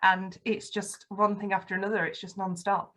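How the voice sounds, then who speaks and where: A woman's voice: mid-range voice, level tone, moderate pace, pushed and bright. A young woman with a Yorkshire accent, speaking frankly as a podcast guest in casual conversation, fluent but with frequent "um" fillers. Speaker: podcast guest, campaign ambassador.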